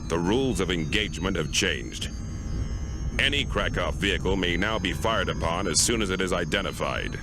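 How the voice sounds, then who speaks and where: A man playing a stern, authoritative police squadron commander in a video game, delivering a formal briefing in a deliberate, commanding way. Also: sits low, lively intonation, noisy background. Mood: grave, stern. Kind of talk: formal military briefing, game cutscene acting. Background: music.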